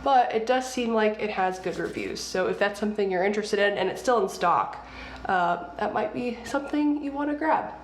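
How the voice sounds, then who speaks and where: A woman's voice: mid-range voice, moderate pace, a little hiss or hum. A young woman with a stuffed-up nose from a cold, chatting casually as she reviews makeup. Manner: chatty, gushing, many fillers. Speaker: beauty vlogger. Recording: echoey room.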